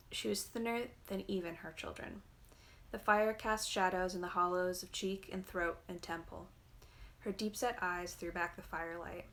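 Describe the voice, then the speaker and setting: A woman reading literary fiction aloud in a measured, expressive storytelling manner. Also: lowish voice, low-quality recording. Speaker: reader.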